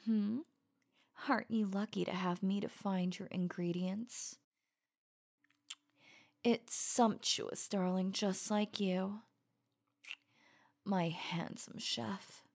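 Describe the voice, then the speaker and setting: Slow, sultry werewolf huntress acted by a woman. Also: mid-range voice, steady tone, a little mellow. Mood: savouring, flirtatious. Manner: slow, breathy, intimate, often dropping to whispers. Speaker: audio roleplay voice actor. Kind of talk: ASMR roleplay.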